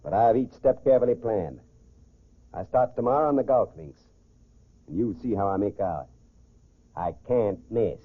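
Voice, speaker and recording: Deep-voiced, smooth gentleman crook, old radio. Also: expressive, moderate pace, pauses often. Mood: cool, scheming. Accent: American. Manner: self-assured, calculating, clipped orders. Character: smooth, confident gentleman swindler posing as society golfer. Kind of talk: 1940s radio crime drama acting. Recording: muffled, phone-like 1940s radio recording.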